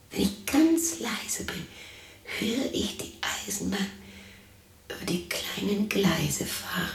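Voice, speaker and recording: A lonely, eccentric widow in her sixties, muttering to herself in a radio play. Her delivery is slow and halting, with sardonic, theatrical swings in pitch. The voice sits in a roomy echo. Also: vocal fry, darkish tone, full character voice. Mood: wistful. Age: 60s. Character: lonely, bitter, eccentric sixty-year-old widow talking to herself. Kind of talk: radio drama monologue. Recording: roomy echo with faint noise.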